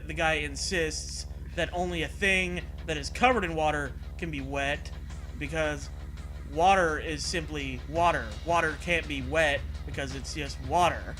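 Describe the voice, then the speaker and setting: A man's voice: on the high side, a bit bright. A man co-hosting a comedy show with sardonic, sweary, casual riffing. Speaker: co-host commenting on the story.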